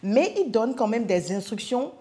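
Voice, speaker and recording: A woman from Africa, a guest in a podcast chat, talking in an emphatic, punchy, animated way. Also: fairly high pitch, moderate pace. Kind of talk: casual chat.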